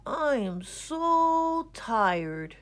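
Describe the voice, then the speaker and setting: Woman as a whiny, slow young boy groundhog. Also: high voice, sing-song, voice pushed up, heavily acted. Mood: groggy, grumpy.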